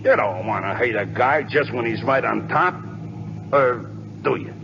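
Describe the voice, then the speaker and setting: A man's voice: mid-range voice, lively intonation, moderate pace, gravelly. A man playing a brash, good-hearted, slangy big league ballplayer in an old-time radio comedy. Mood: chummy, frank. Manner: streetwise slang, wisecracking. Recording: noisy muffled old radio broadcast recording.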